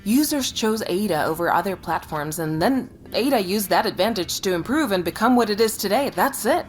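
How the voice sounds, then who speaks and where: A woman playing a brisk, sceptical executive sister in a naturalistic audio drama, speaking with firm, controlled dismissiveness. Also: mid-range voice, animated, a little hiss or hum, close to an everyday voice. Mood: firm, defensive.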